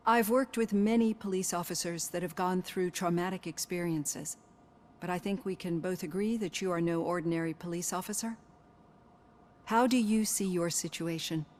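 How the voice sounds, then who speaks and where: A professional woman psychologist acted by a woman in a video game cutscene. Her voice is fairly low, and she speaks in a formal, tactful, measured way that can turn firm. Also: fairly even intonation, a little mellow, slight background noise, close to an everyday voice. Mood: calm, tactful. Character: composed, professional female psychologist, polite but firm.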